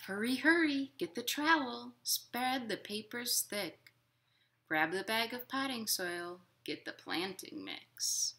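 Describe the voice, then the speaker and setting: A woman in her thirties hosting a storytime for young children at home, expressive and encouraging in simple words. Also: a little high, takes it slowly, slight background noise. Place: living room at home.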